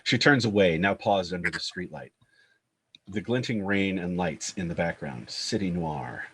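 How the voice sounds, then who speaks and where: A man's voice: low-pitched, moderate pace, fairly bright tone, husky, rough recording. A man narrating screenplay stage directions at a table read.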